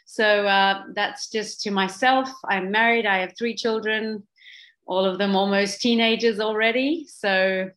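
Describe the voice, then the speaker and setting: A Swiss-born woman in her forties with a faint Australian twang speaks on a video call. She is earnest and passionate, full of fillers and restarts, at a mid pitch. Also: fairly even intonation, a little slow, a bit bright, rough recording. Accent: slight Australian twang. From Switzerland. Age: 40s. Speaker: guest speaker, social business founder. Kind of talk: online talk with a moderator.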